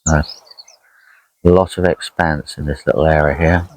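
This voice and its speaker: A man's voice: very deep voice, fairly even intonation, moderate pace, no room sound, low-quality recording. A man chatting casually and unhurriedly as he narrates an outdoor fishing vlog by a lakeside, rambling as he goes. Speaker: angler vlogging a fishing trip.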